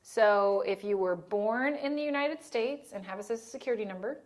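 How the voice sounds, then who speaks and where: A woman's voice: mid-range voice, fairly quick. Woman giving a disaster aid training. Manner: conversational, checks in with "right?" and "okay?". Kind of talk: training presentation.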